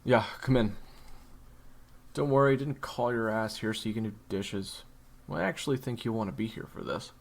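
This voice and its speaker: A man's voice: on the low side, normal speed, vocal fry. A man playing a crude, sarcastic young monster-hunting leader in an audio roleplay, with a wisecracking, theatrical delivery. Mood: sardonic.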